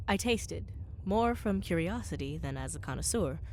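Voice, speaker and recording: A woman reads as a scholarly traveler narrating a radio play. The voice is on the low side for a woman, with formal, measured phrasing. Noise is audible behind the narration. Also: expressive, darkish tone, natural voice. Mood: composed, curious. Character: scholarly male professor recounting his own undersea voyage. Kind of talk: scripted dramatic narration. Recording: clear background noise, live stage recording.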